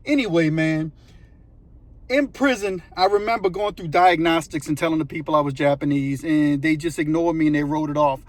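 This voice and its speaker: Middle-aged New man with a fairly high voice, telling a rambling, casual story, full of asides. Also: normal speed, no room sound. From West Bank of New Orleans, Louisiana. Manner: rambling, conversational, fond of "you know what I mean". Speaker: former prisoner telling his story.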